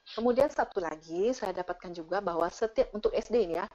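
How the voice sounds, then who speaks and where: A woman giving casual, animated explanations as she answers audience questions on an online call. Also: mid-range voice, a little fast. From Indonesia. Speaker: teacher and speaker sharing her experience. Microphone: online video call. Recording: dull, lo-fi online meeting audio.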